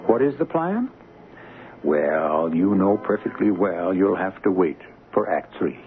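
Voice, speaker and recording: A man's voice: sits low, animated, unhurried, phone-quality sound, heavy background noise. A man hosting a radio mystery with theatrical scripted narration, formal, wry and musing. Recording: band-limited old radio broadcast.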